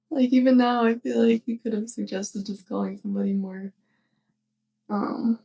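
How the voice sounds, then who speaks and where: Soft, slow-talking young witch played by a woman. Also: on the high side, heavily acted, poor audio. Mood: fond, amused. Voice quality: soft, breathy. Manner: hesitant, giggly rambling. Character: sweet, clumsy young witch neighbor, shyly affectionate. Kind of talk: intimate ASMR roleplay.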